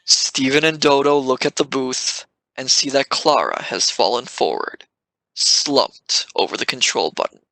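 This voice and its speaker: Slow, bright dramatic narrator. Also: mid-range voice, sing-song, no echo, rough recording. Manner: dramatic, stressing sudden events. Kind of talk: scripted radio play narration.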